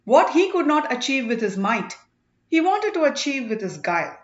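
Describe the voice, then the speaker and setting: A woman voicing a stern male elder who addresses a crowd in emphatic, deliberate phrases for an audiobook. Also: sits high, big pitch swings, roomy sound, dull audio, pitched above the performer's own voice. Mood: stern, accusing.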